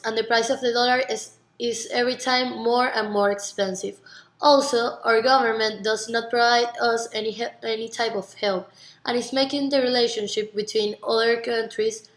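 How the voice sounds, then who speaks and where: A woman's voice: a little high, a bit bright. A nineteen-year-old woman from Venezuela with a Venezuelan Spanish accent, earnestly giving rehearsed interview answers in non-native English with some stumbles. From Margarita, Venezuela. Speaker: university student being interviewed. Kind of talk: rehearsed interview self-presentation. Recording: echoey, roomy sound.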